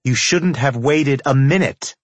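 A man voicing a stern, unforgiving older woman in an audiobook, sharp and reproachful. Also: mid-range voice, a little slow, no room sound, pitched above the performer's own voice, natural voice. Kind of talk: audiobook character dialogue.

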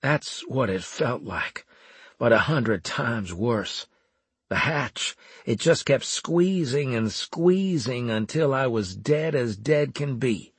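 A big, brash soldier, played by a male audiobook narrator, talks in a laid-back, colloquial drawl of jokes and teasing. The voice is slightly husky and dry-recorded. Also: medium pitch, expressive, slow, no echo, voice pushed up, heavily acted. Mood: dry, blunt.

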